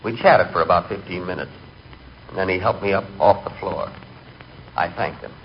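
An actor playing a nervous, hapless middle-aged man who tells his misadventures with deadpan earnestness in a 1940s radio comedy. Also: low-pitched, moderate pace, gravelly. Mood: earnest, deadpan. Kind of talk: 1940s radio comic monologue. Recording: dull, noisy 1940s radio recording.

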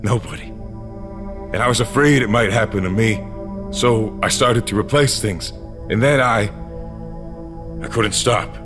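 An actor playing a tormented, brooding man with a gravelly voice in an audio drama. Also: lowish voice, measured pace, pauses often, close to an everyday voice. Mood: anguished, pleading. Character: tormented man who remade his own body, brooding narrator-hero. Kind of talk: audio drama acting and first-person narration. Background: music.

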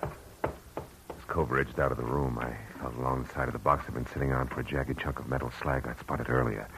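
A man's voice: rumbling low, little pitch movement, brisk, a bit bright, a little hiss or hum, rough recording. An actor playing a hard-boiled male private eye in a 1940s radio drama, clipped and sardonic, who also narrates. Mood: narrating. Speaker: narrator and lead.

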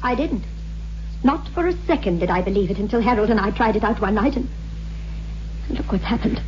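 An actress playing a distraught, obsessive widow in a 1960s radio horror drama, intense and insistent. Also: female voice, mid-range voice, expressive, brisk, a little mellow, slight background noise, lightly acted. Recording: dull old radio recording, faint music.

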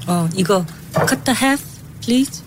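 A woman's voice: mid-range voice, lively intonation, fairly quick. A middle-aged woman, a native Korean speaker, talking casually at home in short mixed Korean and English. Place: home kitchen. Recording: noisy kitchen field recording, sizzling.

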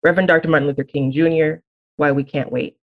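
A woman poet from Alabama with no Southern accent, reading her poem slowly and deliberately, then answering questions in a frank, reflective way. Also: deep voice, fairly even intonation, narrow, tinny audio. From Birmingham, Alabama. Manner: measured, deliberate reading with slow emphatic phrasing; reflective, frank conversation with light laughs. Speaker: poet and guest. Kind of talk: poetry reading and interview answers.

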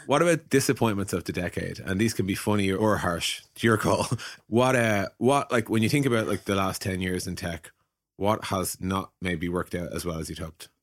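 A man's voice: sits low, moderate pace, no echo, studio quality. A man hosting a casual tech podcast discussion in an informal, joking manner. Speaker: podcast host.

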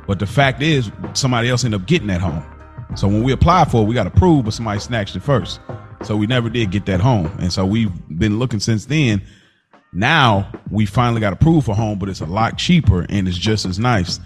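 Man, deepish voice, informal podcast chat, slangy, animated storytelling, light music bed. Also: a little fast. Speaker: podcast co-host. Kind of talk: casual chat.

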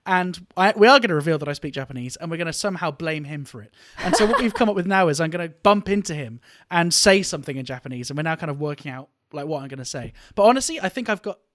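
A man co-hosting a casual podcast, telling stories in an animated, joking, mildly profane way. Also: fairly high pitch, fast talker, few pauses, no echo, clean studio sound. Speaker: podcast co-host.

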